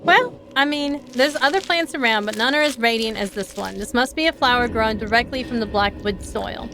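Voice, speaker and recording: Female game streamer, high voice, casual and sweary, reading story text aloud over clear game music. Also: moderate pace, pushed and bright. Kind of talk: live visual novel playthrough, reading aloud with asides.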